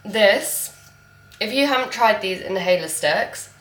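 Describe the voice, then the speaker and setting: A woman's voice: medium pitch, expressive, a little slow, a little hiss or hum. A woman vlogging casually as a fitness coach, congested from a cold, rambling with lots of fillers. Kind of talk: casual vlog chat to camera.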